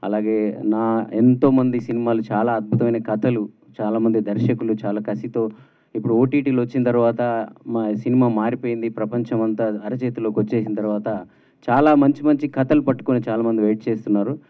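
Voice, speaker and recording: A man's voice: mid-range voice, fairly even intonation, brisk. A man giving a well-wishing guest speech at a film launch. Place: film launch event, at a microphone. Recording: distant, phone-like tinny PA sound.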